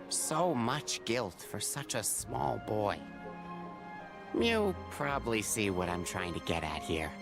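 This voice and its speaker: A man voicing a kindly, wise adoptive father in a video game, gentle, reflective and reassuring. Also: medium pitch. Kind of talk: video game cutscene acting.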